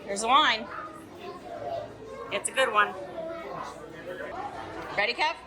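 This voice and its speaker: A woman's voice: on the high side, takes it slowly, crowd noise. A woman hosting a casual vlog, chatting, asking questions and echoing the answers she gets. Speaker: vlog host, interviewing child. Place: aboard a cruise ship. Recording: clearly noisy ship surroundings.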